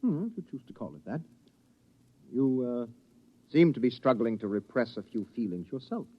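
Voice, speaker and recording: An actor playing an educated, sensitive and bitter outcast mutant in 1950s radio drama, with theatrical, ironic, deliberate phrasing. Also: male voice, medium pitch, sing-song. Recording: muffled old radio recording.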